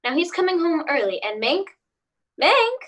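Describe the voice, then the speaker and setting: A woman's voice: very high-pitched, big pitch swings, measured pace, fairly bright tone, heavily acted. A woman playing an anxious, hesitant suburban housewife and mother in a radio play. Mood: worried. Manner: hesitant, brooding, theatrical.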